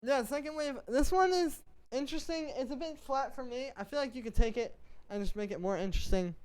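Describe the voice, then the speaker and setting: A man's voice: very high-pitched, fairly quick, a little mellow, slight background noise. A man hosting a livestream, giving laid-back, slangy and frank feedback in a casual chat style. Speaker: music producer hosting a livestream. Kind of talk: casual live feedback chat, reading messages aloud. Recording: electronic music playing behind the voice. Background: electronic music.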